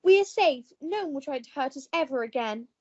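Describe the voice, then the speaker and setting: High-pitched gushing posh young heroine played by a woman. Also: expressive, fairly quick, warm tone, muffled recording. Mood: soothing. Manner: gushing, old-fashioned jolly phrasing. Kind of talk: melodramatic radio play acting.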